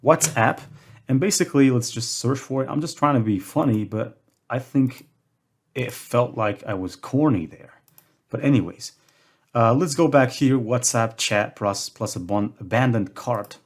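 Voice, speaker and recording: A young man from Morocco hosting a screen-recorded tutorial, talking in a casual way with self-deprecating jokes. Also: lowish voice. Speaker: tutorial host.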